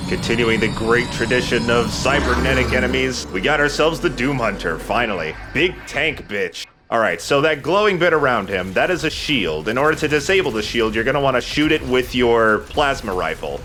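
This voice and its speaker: A man's voice: mid-range voice, animated, normal speed, heavy background noise. A man hosting a let's play, explaining the game casually with playful, jokey slang. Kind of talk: casual gameplay commentary and walkthrough.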